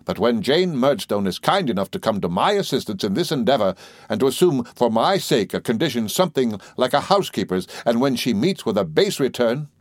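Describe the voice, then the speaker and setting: A cold, tyrannical master of the house from a classic novel, played by a male narrator. He is firm, pompous and deliberate, arrogant in every phrase. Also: medium pitch, sing-song, no echo, clean studio sound. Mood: cold severity.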